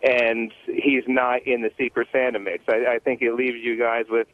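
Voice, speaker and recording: Narrow, phone-like audio carries a man's voice at a medium pitch. He gives casual, conversational commentary on a radio show. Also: little pitch movement, moderate pace. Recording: thin, phone-like band-limited sound.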